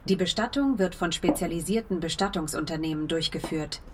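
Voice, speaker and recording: A woman's voice: fairly low pitch, brisk, natural voice. A woman voicing a neutral, polite AI assistant in an audio drama, reading out information formally and precisely. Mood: neutral, informative. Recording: clear background ambience noise.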